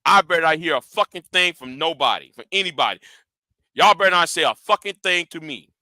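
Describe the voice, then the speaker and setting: A man's voice: fairly high pitch, lively intonation, brisk, bright, forceful tone, dry recording, clean studio sound. A man ranting in a commentary vlog. He talks confrontationally and swears a lot, repeats and restarts his words, and challenges his audience directly. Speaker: YouTube commentator.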